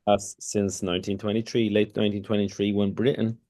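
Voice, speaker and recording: Low-voiced man, rambling. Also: little pitch movement, fairly quick, dark tone. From Kurdistan, Iraq. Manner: rambling, with many restarts and "you know". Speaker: podcast guest. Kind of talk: casual conversational interview.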